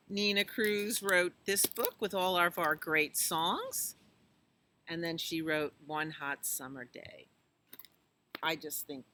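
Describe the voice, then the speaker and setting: Bright-voiced woman reading slowly to children. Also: on the low side, a little hiss or hum. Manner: animated, exclamatory, asks listeners questions. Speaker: children's storytime reader at a library. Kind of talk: picture-book read-aloud with chatty asides to children.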